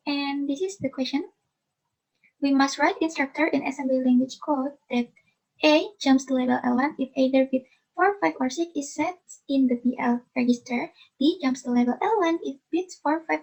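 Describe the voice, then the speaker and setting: A woman's voice: high-pitched, a little mellow, highs cut off. A young woman from Indonesia with an Indonesian accent, a student reading out and explaining a lab question over a screen share. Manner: careful, reads the task out then explains. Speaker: student presenting a lab answer. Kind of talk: screen-share walkthrough of code.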